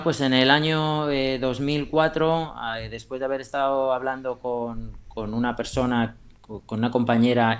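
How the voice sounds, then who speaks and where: Thoughtful man from Madrid, Spain. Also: medium pitch. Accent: Spain Spanish. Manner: thoughtful, unhurried storytelling. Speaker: podcast guest. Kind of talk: casual interview.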